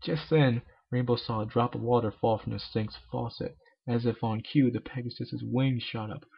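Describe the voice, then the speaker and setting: A man's voice: mid-range voice, steady tone, normal speed, vocal fry, slightly rough. A man reading fan fiction aloud in an informal, irreverent way with joking asides, on a muffled home recording.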